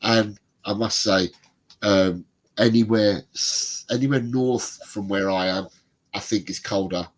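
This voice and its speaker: A middle-aged man, a little bunged up, reads viewer comments and chats on a fan livestream. His voice sits low and sounds husky. He rambles easily and laughs along. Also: fairly even intonation, a little slow. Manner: chatty, rambling, laughs often. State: congested, bunged up. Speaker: co-host of a football fan livestream. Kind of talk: casual chat reading out viewer comments.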